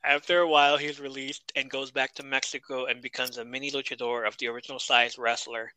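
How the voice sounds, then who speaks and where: Male podcast co-host, very bright and smooth voice, dry close mic, chatty and joking. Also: medium pitch, little pitch movement, quick pace, no echo, rough recording. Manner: chatty, reads notes, jokes and laughs. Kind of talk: casual wrestling review chat.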